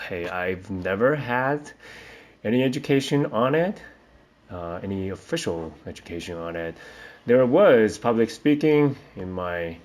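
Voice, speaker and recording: Man, from Taiwan, young adult, teacher, low-ish voice, slow, hesitant, rambling. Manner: hesitant, rambling, frequent fillers and restarts. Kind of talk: casual spoken reflection.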